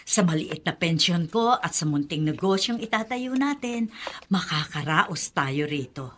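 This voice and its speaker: A woman's voice: on the low side, animated, measured pace, fairly bright tone, slight background noise. A woman playing a kindly, practical older Filipino aunt in a radio drama. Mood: practical, encouraging.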